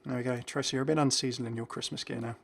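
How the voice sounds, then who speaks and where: A man's voice: medium pitch, steady tone, very fast, gravelly, a little hiss or hum. Chatty man giving casual game commentary. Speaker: video game reviewer and host.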